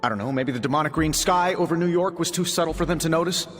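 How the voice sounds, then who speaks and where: A man's voice: a little high, quick pace, close to an everyday voice. A man playing a cocky, defensive genius hero in a video game, sarcastic and flippant. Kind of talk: video game cutscene acting. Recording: long reverb, music behind.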